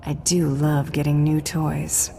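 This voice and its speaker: A cocky, terse bounty hunter. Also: female voice, sits low, completely flat delivery, slow pace, creaky, noisy background, exaggerated voice. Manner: terse, confident. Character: cocky female bounty hunter, cool and eager. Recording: game audio with music.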